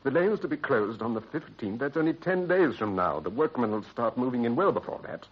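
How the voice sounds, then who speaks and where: An actor playing an anxious, guilt-ridden British husband in a period radio drama, speaking in a clipped, grave and troubled way. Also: male voice, mid-range voice, expressive, fairly quick, slightly rough. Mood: anxious, resolute. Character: anxious guilt-ridden husband hiding a body, adult man. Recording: dull, band-limited vintage radio recording.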